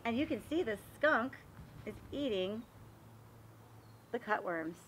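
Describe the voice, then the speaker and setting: A woman reading a picture book aloud to young children, animated and expressive, pausing to explain and ask questions. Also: on the high side, slight background noise. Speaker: read-aloud storyteller for children.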